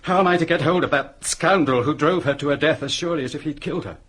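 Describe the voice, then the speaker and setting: A husky-voiced gentleman of means in a radio drama. He is tormented and emphatic, with a slightly rough edge to his words. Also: fairly high pitch, fast talker. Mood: bitter, vengeful. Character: anguished, wealthy English gentleman haunted by loss. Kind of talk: radio play acting.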